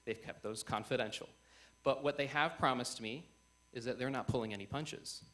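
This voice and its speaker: A man's voice: mid-range voice, normal speed, a bit bright. Young man, event host, formal and emphatic stage introduction on a microphone. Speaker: host of a student election debate. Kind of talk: formal speech introducing a debate. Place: on stage before a live audience.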